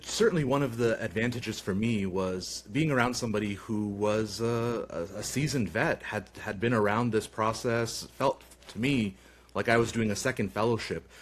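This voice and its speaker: A man's voice: lowish voice, moderate pace. A man hosting a podcast interview, asking long, rambling questions full of fillers. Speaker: podcast host, physician.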